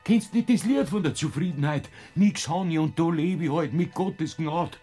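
A man's voice: fairly high pitch, normal speed, mellow, breathy edge, soft background music. A man playing a stubborn old Bavarian farmer. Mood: contented, folksy. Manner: dry, stubborn, folksy. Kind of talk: classic radio play acting.

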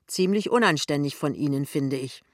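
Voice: mid-range voice, expressive, moderate pace, no echo, studio quality, lightly acted. A narrator voicing an indignant male office colleague in an audiobook, firm, reproving, clipped and authoritative. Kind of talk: audiobook character dialogue.

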